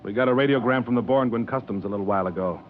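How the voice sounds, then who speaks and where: An actor playing a wary, uneasy spaceship officer, terse and grave, in 1950s radio drama style. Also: male voice, medium pitch, rapid-fire, natural voice. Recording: dull old radio recording, faint noise.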